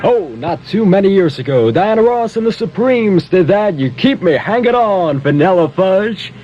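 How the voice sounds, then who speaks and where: A man's voice: sits high, animated, normal speed, lo-fi sound, over music. An American man in his twenties doing playful, chatty Top 40 disc jockey patter on a vintage 1970 radio aircheck. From Philadelphia, United States. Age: 20s. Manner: playful, chatty, with interjections and asides. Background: pop records playing under the voice.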